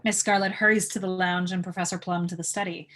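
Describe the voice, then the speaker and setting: A woman's voice: lowish voice, level tone, quick pace, a bit bright. A woman reading stage directions aloud as the narrator of a live table read.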